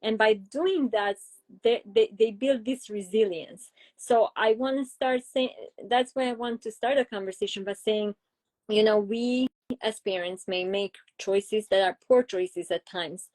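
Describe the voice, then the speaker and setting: A middle-aged woman with a Romanian accent speaks as a guest psychologist in an interview. She explains patiently and builds her points through everyday examples, pausing on fillers as she thinks. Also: medium pitch, a little mellow. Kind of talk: interview conversation.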